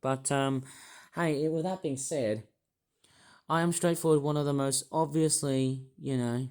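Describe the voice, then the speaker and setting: A man with a hoarse, croaky voice, rambling hesitantly through a personal vlog monologue with many restarts. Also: mid-range voice. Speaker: YouTuber.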